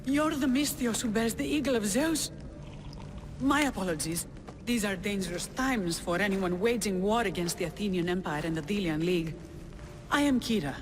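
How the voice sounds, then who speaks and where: An actress playing a proud, weary rebel leader of ancient Greece in a video game, speaking in a wary, commanding, theatrical way. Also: female voice, medium pitch, normal speed, noisy background, lightly acted. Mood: earnest. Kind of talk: dramatic video game cutscene acting. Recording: reverberant hall, music behind.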